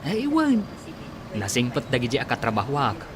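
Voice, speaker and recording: A man's voice: medium pitch, huge pitch swings, moderate pace. Evasive, swooping Ilocano village man acted out. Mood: evasive, uneasy. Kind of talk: Ilocano radio comedy drama acting. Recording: busy outdoor crowd and traffic behind.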